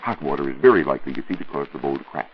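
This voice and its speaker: A man with an American accent explaining science on a scripted 1940s radio show, in a didactic, deliberate and emphatic way. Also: a bass voice, lively intonation, breathy edge. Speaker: science reporter answering listener questions. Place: radio studio. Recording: muffled, noisy 1940s radio recording.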